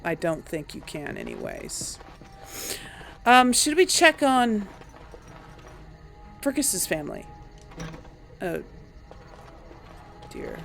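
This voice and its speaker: A woman's voice: lowish voice, huge pitch swings, slow pace, creaky, pauses often, a bit bright, soft background music. A woman giving casual let's play commentary on a video game, thinking aloud as she plays. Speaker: gamer narrating a role-play playthrough.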